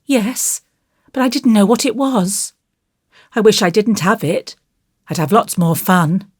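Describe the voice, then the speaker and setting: A woman's voice: mid-range voice, animated, a little slow, slightly rough, no room sound, clean studio sound, pitched above the performer's own voice. A woman voicing an earnest, naughty but penitent little boy in an audiobook, pleading and blurting in childlike, colloquial speech. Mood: curious, pleading. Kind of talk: audiobook character voice.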